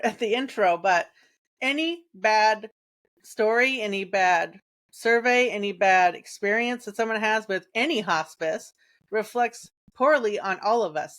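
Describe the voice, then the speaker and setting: A woman's voice: a little high, fairly bright tone. Frank woman podcast host. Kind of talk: casual podcast conversation.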